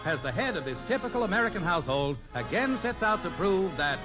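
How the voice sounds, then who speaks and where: Male 1950s radio announcer, folksy and theatrical, very animated delivery, dull old recording with music behind. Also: sits high, fast talker. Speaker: announcer and narrator. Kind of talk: 1950s radio sitcom announcing, scripted narration.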